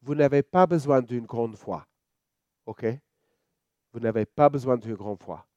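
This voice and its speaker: Slow, mellow Australian man preaching. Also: mid-range voice, dry recording, muffled recording. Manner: relaxed, joking, halting with many fillers and restarts. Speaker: church elder preaching. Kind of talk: sermon.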